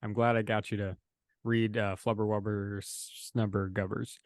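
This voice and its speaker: A man co-hosting a podcast with a slightly rough voice, chatting in a playful, joking way. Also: fairly low pitch, flat delivery, moderate pace, dry recording, clean studio sound. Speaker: podcast co-host. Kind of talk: casual podcast chat.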